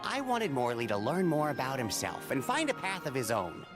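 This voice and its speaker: A wise fatherly mentor in a game cutscene. His delivery is gentle and reflective with a lively, varied melody, set against clear music. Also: medium pitch, moderate pace. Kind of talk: video game cutscene acting.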